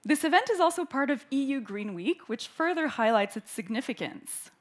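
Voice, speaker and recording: Woman from Canada hosting a conference opening, fairly high voice, reading prepared welcome remarks. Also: lively intonation, moderate pace. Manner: warm, clear and orderly, reading prepared remarks. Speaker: event host, opening speaker. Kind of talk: formal welcoming speech. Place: conference hall.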